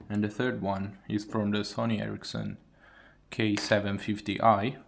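A man giving casual hands-on commentary as a tech hobbyist, in a halting way with pauses between phrases. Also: fairly low pitch, gravelly. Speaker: tech hobbyist demonstrating a phone mod. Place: indoors, at a wooden table.

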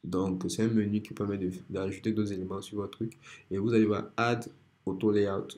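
A man with a cold, calmly walking through a software design tutorial step by step over a screen recording. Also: fairly low pitch, moderate pace. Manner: relaxed, step-by-step explaining with many restarts. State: has a cold.

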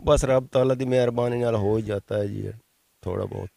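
A man's voice: mid-range voice, fairly even intonation, moderate pace, creaky, mellow, slight background noise. A middle-aged man with a Punjabi accent, a bicycle mechanic and father, speaking in a humble, plainspoken way. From Pakistan.

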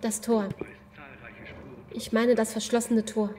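A woman's voice: on the high side, little pitch movement, slow pace, warm tone. A woman playing a determined, pragmatic captive in a German audio drama. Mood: urgent.

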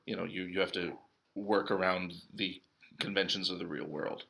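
Man in his thirties, deep voice, bright edge, casual chat, thoughtful phrasing. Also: steady tone, normal speed, gravelly, slightly rough, rough recording. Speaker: interview guest, stage actor. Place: indoors, small hall with cinder-block walls.

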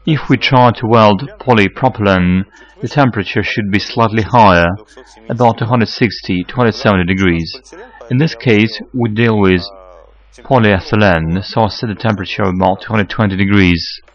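A man in his forties giving a measured, scripted how-to explanation as a technical consultant. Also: on the low side, dull audio. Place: electronics workshop, indoors.

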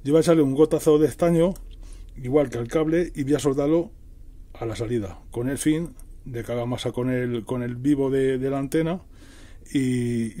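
Middle-aged man, very dark mellow voice. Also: medium pitch. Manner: explanatory, repeats and rephrases while working. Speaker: DIY tutorial host. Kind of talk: casual hands-on tutorial narration.

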